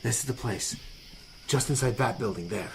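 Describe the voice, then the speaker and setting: A man's voice: mid-range voice, moderate pace, gravelly, lightly acted. A man playing a sly, treacherous young informant in a noir audio drama. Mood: helpful, nervous. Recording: echoey space, faint background noise.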